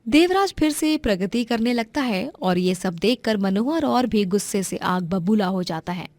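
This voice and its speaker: A woman's voice: mid-range voice, expressive, normal speed, a little mellow, no room sound. A woman narrating a scripted moral story as its storyteller.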